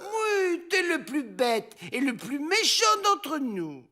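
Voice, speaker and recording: An actor playing a dim, clumsily consoling outlaw man in an animated cartoon, earnest and tactless. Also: sits high, slow, full character voice. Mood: tearful.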